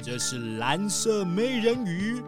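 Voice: mid-range voice, very animated, slow pace, over music. A performer voicing a boisterous rich seal who owns cruise ships, hammy, laughing often and dropping English words. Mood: hearty.

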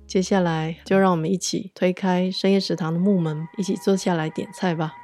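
A woman's voice: lowish voice, level tone, fairly quick, darkish tone, soft background music. A woman with a Taiwanese accent hosting a podcast and narrating a scripted story.

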